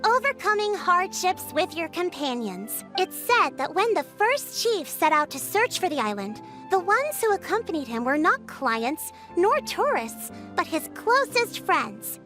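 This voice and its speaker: A woman voicing a composed, astute young female chief in a video game, explaining things in a measured, authoritative way. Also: very high-pitched, big pitch swings, a bit bright, soft background music, full character voice. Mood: measured, explanatory.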